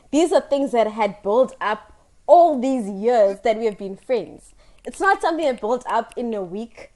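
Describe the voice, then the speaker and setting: A young South African woman hosting a video-call chat with a loud voice, earnest and switching into Zulu. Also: fairly high pitch. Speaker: host.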